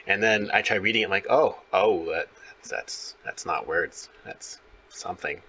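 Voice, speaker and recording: Guy interviewer, lowish bright voice, kinda rambly explaining stuff in a casual chat. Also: measured pace, slight background noise. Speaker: interviewer, host. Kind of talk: conversational interview.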